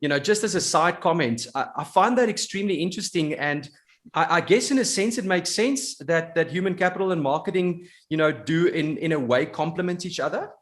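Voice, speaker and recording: A man's voice: a little high, expressive, fairly quick. A man hosting a podcast interview, enthusiastic and full of praise for his guest. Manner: enthusiastic, effusive. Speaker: podcast host.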